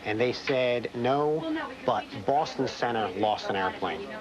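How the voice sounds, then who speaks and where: A man, an air traffic control supervisor, recounting events in an interview in a deliberate, matter-of-fact way. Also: mid-range voice, expressive, muffled recording, a crowd in the background.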